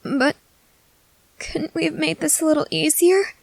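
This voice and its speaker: A woman voicing a gentle, nervous, newly turned young female vampire who speaks haltingly in an ASMR roleplay. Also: sits high, gravelly, no echo, pitched above the performer's own voice. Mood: pleading. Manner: hesitant, halting, soft-hearted. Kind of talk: scripted ASMR audio roleplay.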